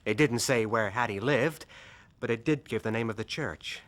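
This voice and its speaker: Actor as bright-voiced, forthright American man. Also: medium pitch, normal speed, natural voice. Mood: explanatory. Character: frank, forthright American adventurer and devoted husband. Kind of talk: period radio drama acting.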